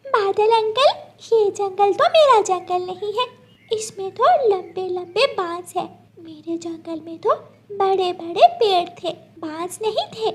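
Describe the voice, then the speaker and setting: A woman's voice: very high voice, takes it slowly, darkish tone, pitched above the performer's own voice. A woman voicing a small young girl bird in a children's cartoon, childlike and plaintive, eager and easily frightened. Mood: tearful, confused. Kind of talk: Hindi children's cartoon story acting.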